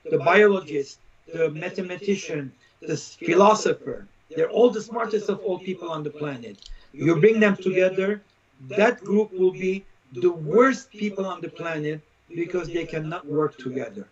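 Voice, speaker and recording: A man with a fairly high voice, heard through muffled lo-fi live stream audio. He talks as an opinionated interview guest, stating things emphatically and stacking his points in repeated lists. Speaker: interview guest, social critic.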